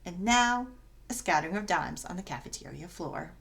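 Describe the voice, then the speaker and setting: A woman reading a children's story aloud as narrator, in an expressive, dramatic and emphatic way. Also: fairly low pitch, moderate pace, vocal fry. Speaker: story reader.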